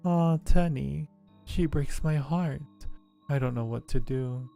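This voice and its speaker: A man's voice: mid-range voice, slow, vocal fry, mellow, soft background music. A man voicing a timid, self-doubting female vampire in a visual novel, confiding hesitantly and anxiously. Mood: smitten, unsure. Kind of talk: visual novel inner monologue.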